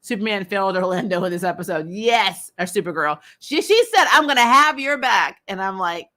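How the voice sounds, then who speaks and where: An American woman hosting a casual recap show, chatting in a blunt, animated, opinionated way with informal language. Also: mid-range voice, fairly quick. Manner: animated, frequently laughing, blunt and informal with mild swearing. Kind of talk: casual opinionated fan chat, reading and answering comments.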